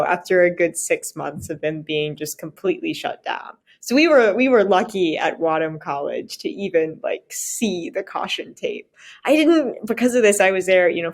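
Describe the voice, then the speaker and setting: Young woman, slightly low voice, chatting. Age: young adult. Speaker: podcast guest. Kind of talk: casual chat.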